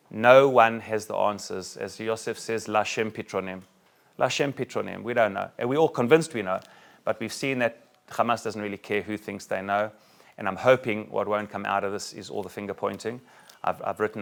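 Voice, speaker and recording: A middle-aged South African-born rabbi giving a talk to a group and taking questions, joking and animated, with many restarts. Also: male voice, fairly low pitch, gravelly. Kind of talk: talk with audience Q&A. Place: room with a live audience.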